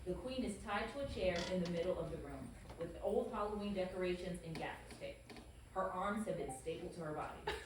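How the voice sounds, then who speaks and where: A woman's voice: lowish voice, a little fast. Woman reading script directions at a table read, far from the mic, big echo. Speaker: stage-direction narrator. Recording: very echoey room, distant mic, faint hiss.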